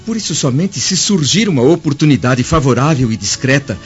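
A man's voice: medium pitch, expressive, brisk, natural voice. Guy doing a proud roman senator dad in a radio play, all anguished and formal, lo-fi sound with music behind. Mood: anguished, reluctant. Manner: emotional, formal diction. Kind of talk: radio drama acting. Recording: dull lo-fi audio over music.

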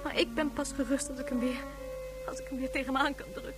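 A woman's voice: high voice, steady tone, fast, over loud music, close to an everyday voice. An actress playing a frightened mother in a radio drama, pleading anxiously and insistently.

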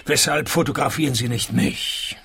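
An actor playing a wry, cheeky private detective who narrates a radio play, deadpan and sardonic, with a Swiss accent. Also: male voice, medium pitch, moderate pace, creaky, slight background noise.

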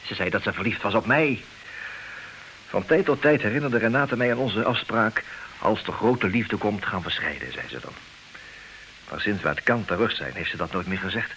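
Wry, resigned betrayed husband, swooping delivery. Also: male voice, lowish voice, lively intonation, normal speed, a bit bright, rough recording. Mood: rueful. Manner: theatrical, wry, swinging between agitated and resigned. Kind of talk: Dutch radio play acting, comic drama. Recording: hissy old radio play recording.

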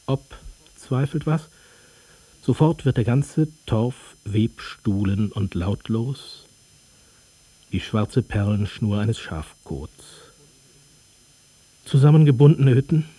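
A slow, brooding narrator. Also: male voice, medium pitch, slightly rough, no room sound. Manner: slow, hushed, meditative delivery. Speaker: reader of the novel text. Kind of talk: literary radio drama reading. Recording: dry studio.

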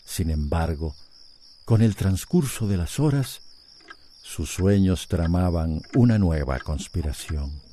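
A man's voice: low voice, animated, takes it slowly, dark tone, slight background noise. A man giving scripted, dramatic narration for a radio soap opera.

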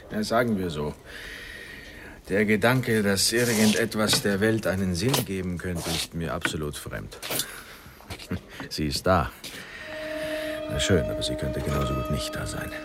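An actor in a radio play playing a learned, sceptical, fatherly older man with a dry, unhurried way of explaining. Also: sits low, heavy background noise. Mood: wry, sceptical.

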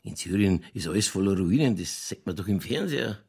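Gravelly, husky, tipsy Bavarian police chief. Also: male voice, low-pitched, lively intonation, a little fast, a little mellow, heavily acted. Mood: brooding. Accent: Lower Bavarian. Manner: rambling, folksy, crude, tipsy. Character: drunken, ranting, world-weary Bavarian police chief. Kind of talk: naturalistic radio crime drama dialogue.

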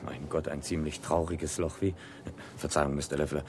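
An actor playing a skeptical gentleman dinner guest in a radio drama, with a dry, polite, wry manner. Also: rumbling low, little pitch movement, normal speed, a little hiss or hum. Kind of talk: German radio drama acting.

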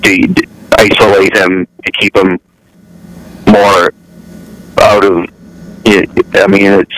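Guest caller: man with a lowish voice, halting and self-deprecating, lots of restarts. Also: fairly even intonation, creaky, fairly bright tone, low-quality recording. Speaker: guest, novelist. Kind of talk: casual remote chat. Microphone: calling in remotely, hard to hear others. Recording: radio talk show.

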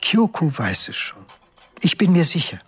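A man's voice: fairly high pitch, big pitch swings, moderate pace. An actor playing an earnest, wistful husband who looks back on his marriage, speaking in a reflective, intimate radio drama monologue. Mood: troubled, brooding. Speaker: actor and narrator. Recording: studio radio drama under music. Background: prominent music.

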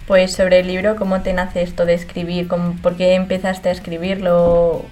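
A woman from hosts a radio interview in a calm, measured way. Her voice is low and keeps a steady, flat line. Soft music plays faintly behind her. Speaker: radio host.

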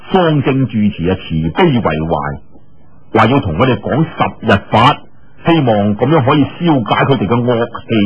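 A man's voice: medium pitch, slight background noise. A man with a Cantonese accent telling a martial arts tale in a colloquial, dramatic oral storytelling style. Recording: dull, lo-fi audio.

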